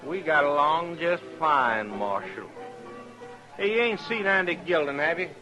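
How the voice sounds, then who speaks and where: A man's voice: fairly high pitch, big pitch swings, unhurried, over music, rough recording. A man playing a hard, unfriendly American frontier drifter in an old radio western, folksy and terse. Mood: smooth, probing.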